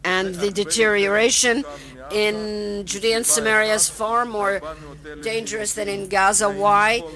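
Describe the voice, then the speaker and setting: Woman interpreter at a conference, slow halting delivery, audience murmur clear behind her. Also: medium pitch, level tone, crowd noise. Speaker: simultaneous interpreter.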